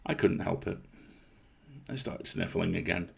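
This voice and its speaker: Male storyteller, low and gravelly, husky edge, expressive audiobook-style narration of a first-person tale. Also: measured pace. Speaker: story reader.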